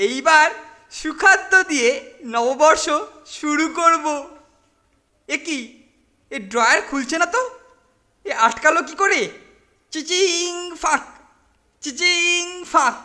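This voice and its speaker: A man's voice: very high voice, takes it slowly, long pauses, pushed and bright, exaggerated voice. A man voicing a greedy, sly boy bully who sneers and gloats. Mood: scheming.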